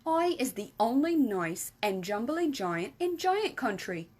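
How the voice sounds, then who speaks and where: A woman's voice: fairly high pitch, very animated, unhurried, a little mellow, pitched above the performer's own voice. A woman voicing a big friendly male giant for a children's storybook, exuberant and kindly, with mangled grammar and emphatic nonsense words. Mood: indignant, then proud. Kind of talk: animated children's storybook reading.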